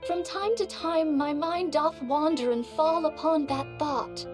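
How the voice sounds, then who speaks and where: A woman's voice: sits high, measured pace, music in the background. A voice actress playing a noble female warrior who talks in an old-fashioned, courtly way. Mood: reflective.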